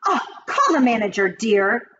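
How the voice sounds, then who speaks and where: A woman doing a shrill snobbish lady. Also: very animated, a little slow, bright, forceful tone, heavily acted. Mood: haughty. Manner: shrieking, haughty. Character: shrill snobbish woman, hotel guest, terrified of mice. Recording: dull lo-fi with faint hiss.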